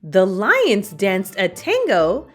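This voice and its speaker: A woman reading a children's storybook aloud as expressive narration, with dramatic, deliberate phrasing. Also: mid-range voice, husky, faint music behind.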